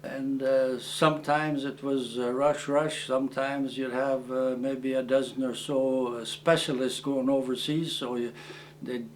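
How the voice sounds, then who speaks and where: Halting elderly man reminiscing. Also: mid-range voice, flat delivery, a little hiss or hum. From Croatia. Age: 80s. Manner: halting, many fillers and restarts; plain, matter-of-fact storytelling. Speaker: war veteran interviewee. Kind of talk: oral history recollection.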